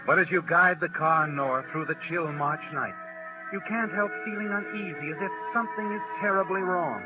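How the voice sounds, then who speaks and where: A man performs an ominous, all-knowing storyteller from a vintage radio mystery. The voice is fairly high for a male and very muffled, with music playing behind it. The delivery is deliberate and insinuating. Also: lightly acted. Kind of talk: 1940s radio mystery narration.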